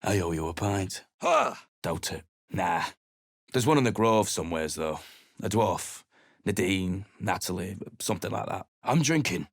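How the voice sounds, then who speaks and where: A surly mercenary. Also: male voice, low-pitched, expressive, unhurried, dry recording, clean studio sound, full character voice. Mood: gruff. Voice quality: gruff, blunt and sarcastic. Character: surly male mercenary adventurer, blunt and weary. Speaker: game character. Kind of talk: video game voice acting.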